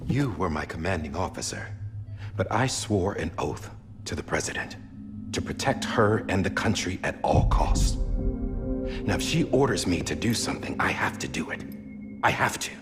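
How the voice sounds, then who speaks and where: An actor playing a loyal, troubled soldier torn between duty and friendship, earnest, hushed and halting, in a cinematic video game. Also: male voice, sits low, sing-song, music in the background. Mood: anguished, sorry.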